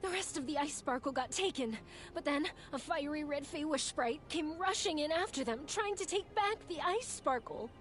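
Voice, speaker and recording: Casting: troubled young-sounding workshop woman for a game, very high voice, faint music. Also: lively intonation, normal speed, hardly any pauses. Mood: upset, recounting. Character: distressed female fireworks maker robbed by bandits. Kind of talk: video game cutscene acting.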